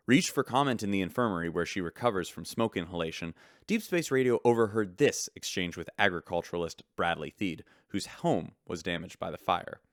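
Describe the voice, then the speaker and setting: A man's voice: on the low side, dry recording, studio quality, lightly acted. An actor playing a male news reporter on a spaceship's radio station in a sci-fi audio drama.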